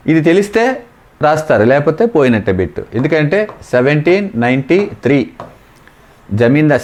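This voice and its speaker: A man with a Telugu accent and a mid-pitched voice teaches in an informal coaching style. He explains exam questions step by step and repeats the key terms as he goes. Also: darkish tone.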